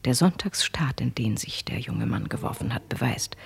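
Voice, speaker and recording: An actress playing a haughty, commanding marquise in a radio drama, speaking in a measured, imperious and aristocratic way. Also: female voice, rumbling low, expressive, vocal fry, slightly rough, whispered, heavily acted. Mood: disdainful. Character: haughty, commanding Parisian marquise, scornful and cutting. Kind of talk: literary radio drama acting.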